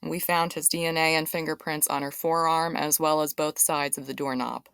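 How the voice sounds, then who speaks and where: A female homicide detective on the witness stand in an audio drama. Her voice is low and recorded dry. She gives formal, factual testimony without fuss. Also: fairly even intonation, normal speed, fairly bright tone, clear, resonant tone, dry recording. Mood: matter-of-fact. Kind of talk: courtroom audio drama acting.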